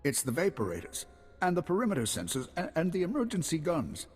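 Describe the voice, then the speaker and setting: An actor playing an anxious, proud old hermit farmer and former guard in a video game, hesitant and halting. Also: male voice, a little high, huge pitch swings, a little mellow, slightly rough, heavily acted. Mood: ashamed, anxious. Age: older. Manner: hesitant, halting, repeating himself. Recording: long hall reverb, music behind.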